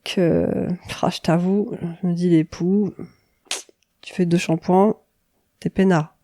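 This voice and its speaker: A woman in her forties chatting casually on a podcast, relaxed and joking. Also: on the low side, slow, no echo, studio quality. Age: 40s. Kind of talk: casual chat.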